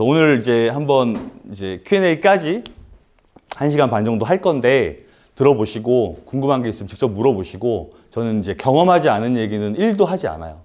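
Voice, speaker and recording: Animated man lecturing with a throat cold. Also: mid-range voice. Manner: animated, with rhetorical questions and frequent "uh" fillers. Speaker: lecturer at a paid business seminar. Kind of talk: live seminar lecture to an audience. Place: indoor lecture room with an audience.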